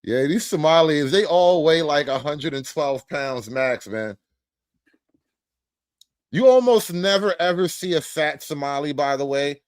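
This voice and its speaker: A man's voice: fairly high pitch, lively intonation, measured pace, no echo, clean studio sound. Blunt, mocking male commentator. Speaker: commentator reacting to a video. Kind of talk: casual reaction commentary.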